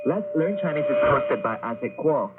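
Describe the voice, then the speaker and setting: A man's voice: fairly high pitch, lively intonation, normal speed, very noisy. Male radio host on a tinny broadcast. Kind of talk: radio announcing and co-hosted chat. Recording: tinny shortwave radio recording with music.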